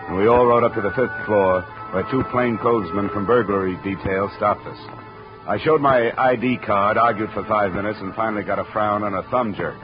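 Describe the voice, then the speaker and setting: A man's voice: fairly low pitch, lightly acted. An actor playing a wry, hard-boiled insurance investigator who narrates his cases in a 1950s radio drama. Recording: dull old radio recording with music.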